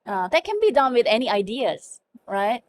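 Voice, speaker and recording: A woman's voice: a little high, sing-song, moderate pace, a little hiss or hum. A middle-aged woman with a Korean accent, a native Korean speaker and hospital information officer, answering interview questions earnestly with many fillers and restarts. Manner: earnest, frequent fillers and self-corrections.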